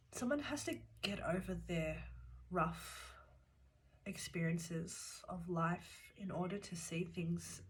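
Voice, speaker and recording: A woman's voice: on the low side, poor audio. A woman giving a tarot reading, speaking earnestly and directly to the viewer while nursing a cold that makes her voice come and go. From Australia. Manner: calm, earnest, talks straight to the viewer. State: has a cold, voice cutting in and out. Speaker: tarot reader. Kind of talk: pick-a-card tarot reading. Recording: loud background noise. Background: shuffling cards and clicks.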